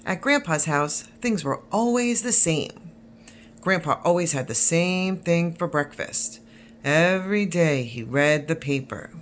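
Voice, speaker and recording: A woman's voice: deep voice, expressive, unhurried, slight background noise. A woman reading a children's picture book aloud as a school storyteller.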